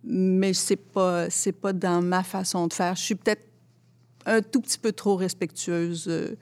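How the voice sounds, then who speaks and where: A woman with a Quebec French accent giving reflective, conversational interview answers, expressive and often searching for her words. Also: fairly low pitch, measured pace. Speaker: interview guest, theatre translator.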